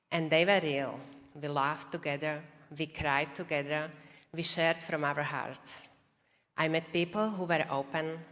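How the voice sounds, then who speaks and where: A woman's voice: deep voice, fairly bright tone. A woman in her thirties from Slovakia with a Slovak accent, earnestly giving a prepared testimony to a church congregation. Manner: earnest, measured, reading a prepared script. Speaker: church member sharing testimony. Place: church auditorium. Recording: long reverb of a large hall.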